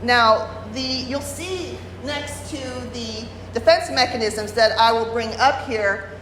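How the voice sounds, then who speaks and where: A woman's voice: fairly high pitch, takes it slowly, a bit bright, a little hiss or hum. A woman presenting a workshop lecture at a retreat, explaining step by step without a microphone. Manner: explanatory, many fillers and restarts. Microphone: no microphone, room recording. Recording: roomy hall, unamplified voice.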